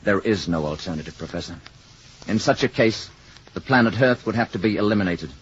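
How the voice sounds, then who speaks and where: Man acting calm, fast-talking alien emissary, muffled recording. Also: fairly low pitch, lightly acted. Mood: grave. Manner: precise, formal, measured diction. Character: calm, courteous alien emissary in human guise, quietly menacing. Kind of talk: 1950s radio drama acting. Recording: muffled old radio recording, faint noise.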